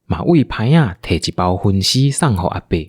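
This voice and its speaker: A man's voice: lowish voice, sing-song, moderate pace, slightly rough, no room sound, clean studio sound. A man with a Taiwanese accent narrating a scripted audio drama as a storyteller.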